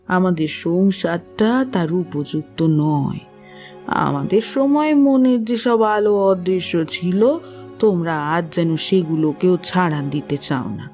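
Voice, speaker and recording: A woman playing a caring, plain-spoken older motherly matron in a literary audio drama, with a gentle, concerned, homely manner. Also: lowish voice. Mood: troubled, reflective.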